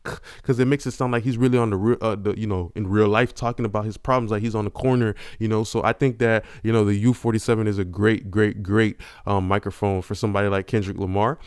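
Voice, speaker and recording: A man's voice: lowish voice, fairly even intonation, fast, a little mellow, smooth, dry recording. A man hosting a casual music-production tutorial, talking in a laid-back, slangy way full of fillers, into a large-diaphragm studio condenser mic in a home studio. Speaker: YouTube host teaching vocal mixing.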